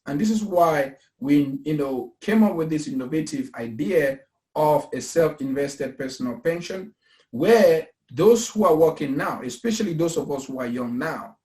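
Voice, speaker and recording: A man's voice: fairly high pitch, a little mellow. A man in his thirties from Cameroon, talking earnestly about investing and pensions, repeating his points with preacher-like emphasis. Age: 30s. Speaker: investment company founder. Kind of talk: casual pitch talk. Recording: echoey room.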